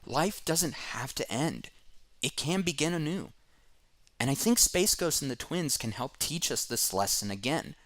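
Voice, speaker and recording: A man hosting a comic review, reading scripted narration in an earnest, expressive way on a close voice-over mic. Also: fairly high pitch, a little slow, dry recording.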